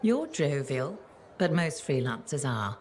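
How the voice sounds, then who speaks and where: An actress playing a scatterbrained, affectionately bossy chronicler in a video game, with genteel, wordy, theatrical phrasing. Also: female voice, low-pitched, sing-song, a little slow, darkish tone, slight background noise. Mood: earnest, lofty. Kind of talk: video game character dialogue.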